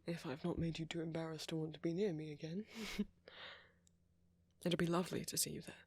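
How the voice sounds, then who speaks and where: A man playing a friendly, flirty vampire in an intimate ASMR roleplay, softly spoken, polite and apologetic as he rambles. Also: on the high side, level tone, a little fast, slightly rough, no room sound. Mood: friendly, inviting. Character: friendly, flirty, gentlemanly male vampire, chatty and considerate.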